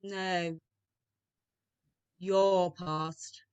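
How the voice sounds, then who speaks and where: A woman's voice: fairly low pitch, little pitch movement, drawn-out words, a little mellow, clean tone, lo-fi sound. A woman playing a solemn, commanding ageless spirit in a radio play, with a stately, declamatory manner. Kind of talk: radio play rehearsed reading over video call. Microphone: video call.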